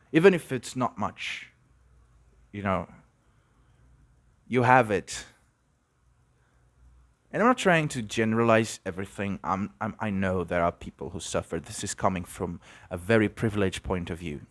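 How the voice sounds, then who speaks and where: A young man from Brazil hosting an unscripted podcast, musing and halting, with many restarts. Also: lowish voice, fairly bright tone, no room sound. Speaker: podcast host. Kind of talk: unscripted reflective monologue.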